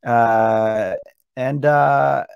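A middle-aged man with a Norwegian accent, a bit hoarse, chatting eagerly in an interview over a video call with many restarts. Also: medium pitch, steady tone, very slow, darkish tone, dry recording, highs cut off. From Norway. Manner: chatty, enthusiastic, frequent restarts. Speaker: singer and contest entrant. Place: hotel room indoors.

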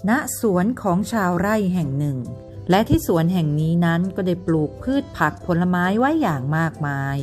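A woman narrating a bedtime tale for young children, her voice rising and falling in a lively sing-song. Faint music plays behind her. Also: fairly low pitch, normal speed. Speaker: storyteller and mother.

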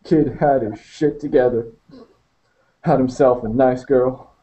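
A man voicing a gruff, streetwise male inmate who drawls in rough street dialect. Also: medium pitch, steady tone, unhurried, mellow, pitched above the performer's own voice, natural voice, rough recording. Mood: reminiscing.

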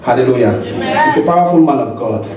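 A middle-aged man with a Cameroonian accent, a pastor addressing his congregation, animated and punctuating with hallelujah and amen. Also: medium pitch, fast. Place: large church hall stage. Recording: echoey hall with crowd, from far off.

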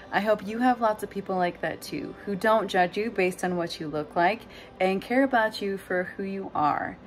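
A woman reads a picture book for preschoolers in the manner of a teacher. Her delivery is expressive and lively in pitch, aimed at small children. Faint music plays along with her voice. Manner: expressive, addressing young children. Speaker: preschool teacher reading aloud. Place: living room with couch, indoors.

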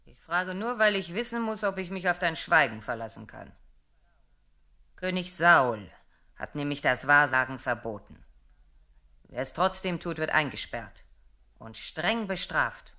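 A woman's voice: low voice, lively intonation, unhurried, pauses often, sharp tone, full character voice. A woman playing a wary, secretive fortune-teller in a radio play, speaking in a guarded way. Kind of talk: dramatic radio play acting.

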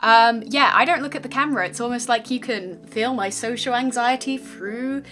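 A young British woman rambling through a crude, sarcastic gaming vlog intro in a slightly high voice. She speaks close into a studio mic in a small bedroom. Clear music plays under her. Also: moderate pace. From Britain. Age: young adult. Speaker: gaming YouTuber. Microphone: large studio mic in front.

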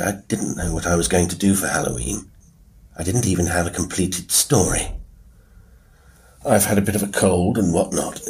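A British man hosting a solo podcast, talking informally in a rambling, wry, self-deprecating way with a husky voice. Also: low voice. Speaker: horror podcast host and writer. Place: quiet room with a piano at work. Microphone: wireless Bluetooth mic into a phone.

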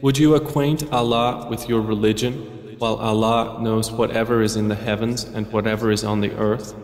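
A man reading a verse translation in a slow, measured, solemn way. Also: lowish voice, steady tone. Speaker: translation narrator. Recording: echoey reverberant room.

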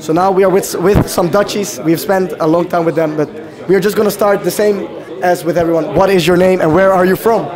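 A man with a high voice runs upbeat, casual interviews at a busy gathering. He laughs easily and keeps things light. A loud crowd chatters all around him. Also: fairly even intonation, fairly quick, hardly any pauses. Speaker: vlog host interviewing attendees.

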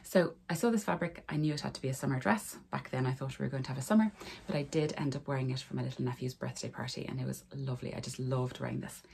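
A woman, hoarse from a cold, chatting casually to camera about her sewing in a small room. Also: very deep voice, fast talker, few pauses, darkish tone, a little hiss or hum. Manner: friendly, rambling, talks to camera while showing garments. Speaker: sewing vlogger. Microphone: camera in front of her at desk.

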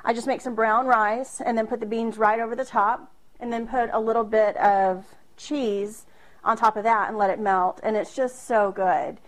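A woman's voice: on the high side, fairly even intonation, moderate pace. Chatty woman cooking at home. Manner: chatty and self-deprecating, with frequent fillers and laughs. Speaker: home cooking video host. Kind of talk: casual cooking demonstration. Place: small home kitchen. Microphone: camera across the room.

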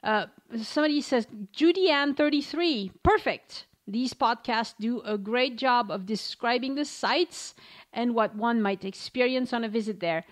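A woman hosting a travel podcast in a fairly high voice. She chats casually, laughs easily and trips over hard names while reading listener reviews. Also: unhurried. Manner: chatty, laughs often, stumbles over names. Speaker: podcast host.

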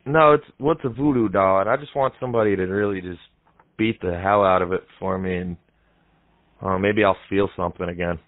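A young man making a deadpan prank call in a casual, halting way, heard through muffled, narrow audio. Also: deep voice, no room sound. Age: young adult. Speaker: prank caller, comedy show host. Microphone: headset microphone.

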